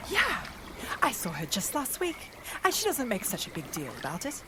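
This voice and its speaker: A woman's voice: a little high, huge pitch swings, moderate pace. A woman playing a gushing, entitled, dramatic female waterfowl fan in a comedic audio drama. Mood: indignant. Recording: loud watery background noise.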